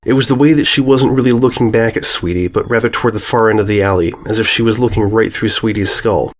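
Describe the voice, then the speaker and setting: A narrator delivers scripted story prose. The pitch is middling, and the reading follows the descriptive text as a storyteller would. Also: quick pace.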